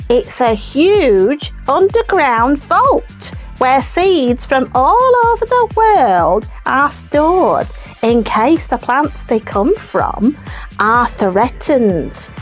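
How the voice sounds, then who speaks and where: A high, animated puppet co-host played by a woman, talking very slowly. She is bossy yet cheerful, in children's news show style. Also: heavily acted. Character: bossy, cheerful puppet co-presenter, teasing coach.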